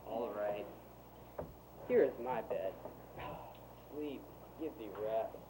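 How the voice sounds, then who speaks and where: A man playing a gentle young lover in Shakespearean verse, measured in delivery. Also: lowish voice, noisy background. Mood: gentle, resigned. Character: young male lover wandering in the woods. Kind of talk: Shakespearean verse stage acting.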